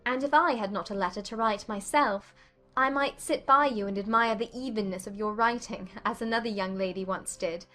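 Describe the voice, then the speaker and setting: A woman playing a witty, spirited young gentlewoman in a dramatized period romance audiobook, with a playful, articulate manner. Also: medium pitch, normal speed, soft background music, natural voice. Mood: playful, teasing.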